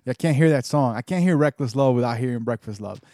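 A man's voice: mid-range voice, quick pace, mellow, no echo. Teasing man in his thirties, dry mic. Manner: teasing, joking banter. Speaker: podcast co-host. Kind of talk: casual chat.

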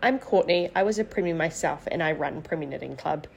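A woman reading a short sponsor message for her charity in a steady, friendly way. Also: deep voice, brisk, gravelly, a little hiss or hum. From New Zealand.

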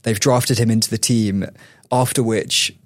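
A man's voice: lowish voice, steady tone, unhurried, dry recording, studio quality. Chatty guy hosting a podcast. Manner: chatty storytelling with laughs. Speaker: podcast host. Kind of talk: casual chat.

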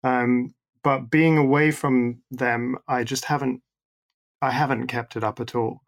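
Gravelly man chatting easily. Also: medium pitch, steady tone, a little slow. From born in Singapore, raised in the Netherlands and abroad. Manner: chatty, self-deprecating, hesitant restarts. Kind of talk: casual conversation between friends.

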